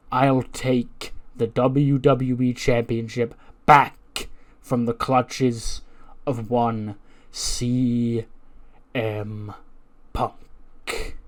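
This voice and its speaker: A man acting out a resolute hero wrestler's promo speech, declamatory and deliberate, with dramatic pauses. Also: mid-range voice. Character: showman hero wrestler solemnly addressing an arena crowd.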